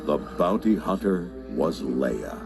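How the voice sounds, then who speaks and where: A man's voice: a bass voice, sing-song, takes it slowly, gravelly, loud music behind the voice. A man reading scripted, dramatic storybook narration.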